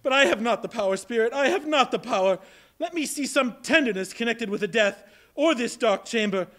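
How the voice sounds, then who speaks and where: A man plays an old miser, shaken and pleading, his voice pitched high and thin. Heard live in a large hall from the audience's camera. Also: lively intonation, fairly quick, a bit bright. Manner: anguished, pleading. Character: Scrooge, old miser now fearful and pleading. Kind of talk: live stage play reading. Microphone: far camera.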